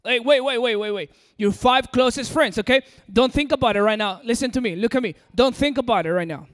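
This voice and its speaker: A man's voice: very high voice, brisk, fairly bright tone. A man from Peru with an American-sounding accent, preaching a sermon and coaxing the audience directly. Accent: American-sounding English. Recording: long hall reverb.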